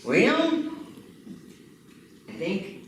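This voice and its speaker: A woman running for county commissioner, speaking energetically and emphatically at a community meeting. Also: mid-range voice. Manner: energetic, emphatic, lists things rapidly. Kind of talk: campaign talk at a community meeting. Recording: echoey room, distant mic, background noise.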